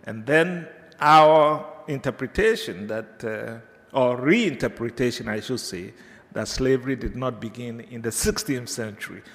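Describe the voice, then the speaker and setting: A man from Ghana with a Ghanaian accent, giving an unscripted academic lecture to teachers, hesitant with many filler pauses and digressions. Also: medium pitch, fairly bright tone. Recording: reverberant lecture hall.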